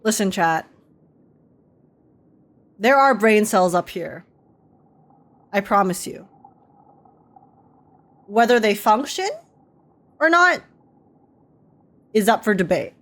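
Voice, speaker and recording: A woman's voice: mid-range voice, animated, slow pace, pauses often. A woman reacting live to a story game, casual and playful, exclaiming and poking fun at herself. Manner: playful, self-deprecating, exclaiming.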